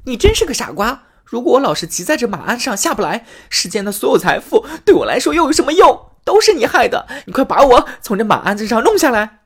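A man voicing a shrill wife who screams and scolds her husband in a storybook tale. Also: very animated, fast, hardly any pauses, breathy edge, full character voice. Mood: furious. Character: shrill shrieking wife stuck on a saddle.

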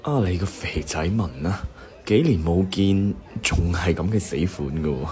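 Cantonese radio drama: burdened, sardonic businessman played by a man, low-pitched voice, weary delivery. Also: moderate pace, darkish tone, lightly acted. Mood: casual, dry. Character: male struggling company boss, burdened, sardonic old classmate.

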